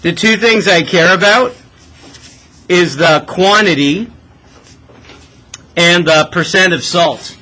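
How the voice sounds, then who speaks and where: Male classroom math teacher, high and bright, informal, question-led delivery, light room noise. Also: slow pace, long pauses. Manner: informal, frequent check questions. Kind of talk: classroom lesson, working a problem aloud. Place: classroom with students. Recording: noisy classroom recording.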